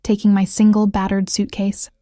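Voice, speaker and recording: A woman narrating a first-person romance story in a scripted storytelling style, with an even, subdued delivery. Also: mid-range voice, normal speed, no room sound, studio quality.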